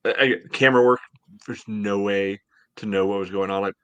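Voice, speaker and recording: Low-voiced American man, halting delivery. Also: fairly even intonation, fairly bright tone, clear, resonant tone, dull audio. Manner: hesitant, halting delivery, heavy use of "you know". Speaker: sports podcast host. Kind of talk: casual sports discussion. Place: home room, indoors, on a video call. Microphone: headset on video call.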